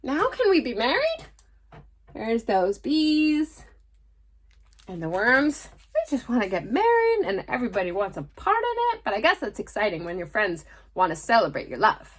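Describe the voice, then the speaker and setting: A woman's voice: medium pitch, wildly swooping pitch, moderate pace. A woman presenting a picture book read-aloud for children, with affectionate, chatty asides. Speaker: storytime presenter.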